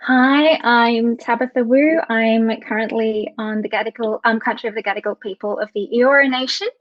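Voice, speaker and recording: A woman's voice: fairly high pitch, moderate pace. A woman from Tasmania, a playwright, chatting and joking on an online panel, thinking aloud with restarts. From Tasmania, Australia. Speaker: playwright and theatre maker. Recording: dull, lo-fi, dry video call audio.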